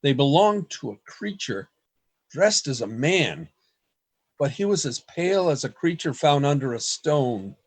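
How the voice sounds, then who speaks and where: A man playing an anxious writer narrating his secret diary in a radio play, in a deliberate storytelling manner. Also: on the high side, big pitch swings. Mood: hushed dread.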